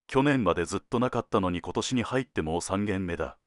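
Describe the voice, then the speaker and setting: A man playing a world-weary senior detective in an audio drama, laconic and deliberate, given to dry teasing jokes. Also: low voice, animated, no echo. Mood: wry, businesslike. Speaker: police detective at headquarters.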